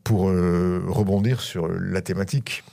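A man's voice: sits low, steady tone, unhurried, vocal fry, dark tone. A middle-aged man from France, speaking as an interview guest, hesitant with many fillers and restarts. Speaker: guest, school director. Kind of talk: interview on radio.

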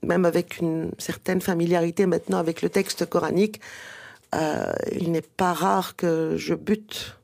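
A woman's voice: on the low side, steady tone, measured pace, gravelly, darkish tone. A woman whose first language is Arabic, a guest author explaining at length in a radio interview with many fillers and restarts.

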